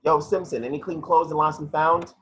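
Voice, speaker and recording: A man's voice: fairly high pitch, steady tone, a little fast, fairly bright tone, natural voice. A man playing a dogged, defiant woman police detective in an amateur online table read. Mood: sardonic. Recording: muffled video-call audio with noise.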